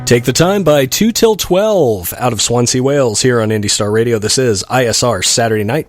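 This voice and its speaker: A male radio host talks in casual, appreciative DJ patter. His medium-pitched voice is close and dry, with a chatty delivery aimed at listeners. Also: no echo.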